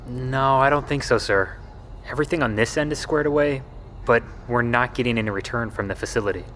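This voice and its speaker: An actor playing a deferential military technician in an audio drama, speaking in a measured, formal and methodical way. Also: male voice, mid-range voice, a bit bright, lightly acted. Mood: dutiful, puzzled. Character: deferential male military technician soldier, grimly analytical. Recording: clear background noise.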